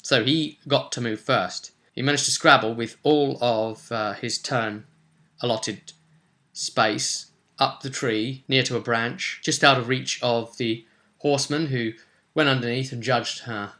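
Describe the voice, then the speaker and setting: A man's voice: mid-range voice, steady tone, measured pace, sharp tone. A man recapping a tabletop roleplay session as game master, telling it in a rambling, casual way with bits of acted dialogue.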